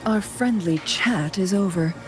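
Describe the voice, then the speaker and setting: A woman's voice: mid-range voice, measured pace, lightly acted. A woman playing a composed, confident warrior in a video game, speaking with poised, formal phrasing. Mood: coolly confident. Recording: loud game music behind. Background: game music.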